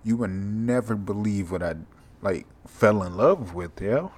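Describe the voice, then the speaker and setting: A sleepy young man with a monotone, mumbly voice, hosting a rambling, profane solo podcast. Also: fairly low pitch, unhurried. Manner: casual, profane, drifting from thought to thought. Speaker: podcast host.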